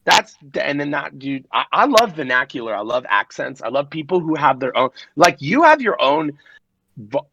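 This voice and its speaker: A man's voice: fairly high pitch, very animated, creaky, bright, forceful tone, no echo, lo-fi sound. A man in his late twenties, a DJ, chatting casually and telling rambling, profane stories. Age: 20s. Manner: rambling, profane storytelling with quick impressions. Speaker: DJ guest. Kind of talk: casual podcast chat.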